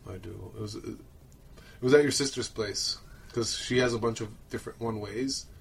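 A man's voice: on the low side, normal speed, gravelly, breathy edge. A man from Romania who moved to Canada as a child, co-hosting a podcast with rambling, colloquial personal stories. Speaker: podcast host. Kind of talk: casual chat, personal storytelling.

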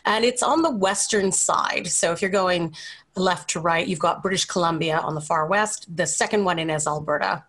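A woman's voice: on the low side, moderate pace, fairly bright tone. A woman in her forties with a Canadian accent, answering interview questions in a casual, conversational way. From Calgary, Canada. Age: 40s. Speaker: interview guest.

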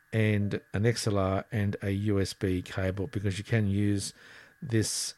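Man, low voice, casual step-by-step gear demo on close mics, dry sound. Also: darkish tone, no room sound. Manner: plain, methodical, explaining step by step. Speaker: gear reviewer. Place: room with air conditioner running. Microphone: headset boom mic, then desktop dynamic mic.

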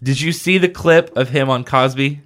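A man's voice: medium pitch, a bit bright. A man co-hosting a casual podcast chat, often restarting his sentences and using fillers. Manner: halting, many restarts and fillers. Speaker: podcast co-host.

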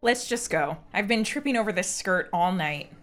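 A woman playing a hurt, proud girl just out of high school in a modern audio drama, sardonic and defensive. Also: animated, moderate pace, a bit bright, lightly acted. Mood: wry, relenting.

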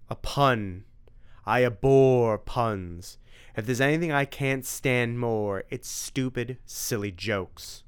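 A man voicing a vain, gloating vampire count in a read-aloud story, with loud melodramatic outbursts. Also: lowish voice, normal speed, smooth, close to an everyday voice. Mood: outraged.

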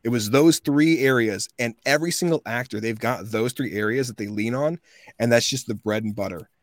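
Man, 30s, voice actor guest, animated storytelling, dry close sound. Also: mid-range voice, brisk, no echo. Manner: animated storyteller acting out quoted people. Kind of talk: casual interview storytelling.

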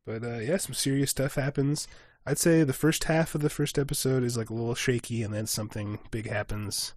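A man co-hosting a podcast in casual chat, animated and acting out quick asides. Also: medium pitch, no room sound, studio quality. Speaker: podcast co-host.

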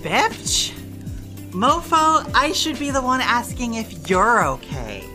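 A woman's voice: a little high, sing-song, takes it slowly, sharp tone, full character voice. An actress playing a breezy, supportive, blunt young woman who talks in casual slang in an audio drama, with music behind her. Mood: teasing concern. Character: Roxy, breezy supportive party-loving young woman, recovering alcoholic. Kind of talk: fandub audio drama dialogue.

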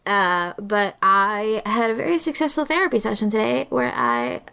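A woman's voice: medium pitch, very animated, moderate pace, vocal fry, bright, forceful tone, clean tone. Young adult female podcast guest, mid-range voice, jokey conversational delivery. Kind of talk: casual chat.

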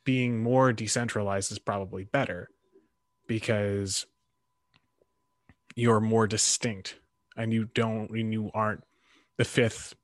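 A man in casual conversation, thinking aloud and giving his opinions in a halting way. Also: fairly low pitch, fairly even intonation, no echo. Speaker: karate practitioner in discussion.

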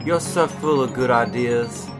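A man's voice: mid-range voice, measured pace, over music, lightly acted. A man playing an earnest, scolding young marine in an audio drama, with a dry, exclamatory delivery.

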